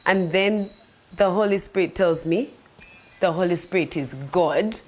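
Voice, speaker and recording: A young woman, telling a faith testimony in an earnest, animated way that sometimes drops to a soft near-whisper. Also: fairly low pitch, unhurried. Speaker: host sharing a faith testimony. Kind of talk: devotional storytelling.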